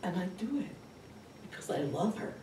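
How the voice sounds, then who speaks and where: A man playing a devoted, worn-out woman in her late sixties in a comic stage monologue, soft-spoken and swooping. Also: high voice, big pitch swings, normal speed, voice pushed up. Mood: sweet, dutiful. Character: devoted, worn-out 68-year-old woman caring for her mother. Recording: distant, roomy, noisy live recording.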